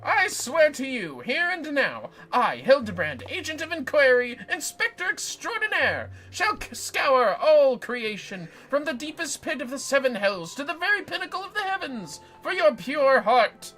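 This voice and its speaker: A woman voicing a self-important gentleman inspector from a video game, declaiming in grand, florid, theatrical exclamations. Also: high voice, sing-song, normal speed, hardly any pauses, soft background music, voice pushed up, low-quality recording. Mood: heroic fervour.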